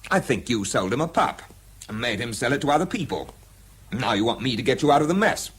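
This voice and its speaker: An actor playing a blunt, stubborn, dryly sardonic British scientist in a radio drama, who also narrates it. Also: male voice, mid-range voice, animated, brisk, a bit bright, breathy edge. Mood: stubborn defiance. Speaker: lead actor and first-person narrator.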